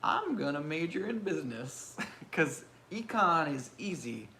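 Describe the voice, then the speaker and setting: Loud-voiced man chatting casually. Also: fairly high pitch, big pitch swings, measured pace. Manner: loud voice, rambling and self-mocking. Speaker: channel host. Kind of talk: casual live Q&A chat.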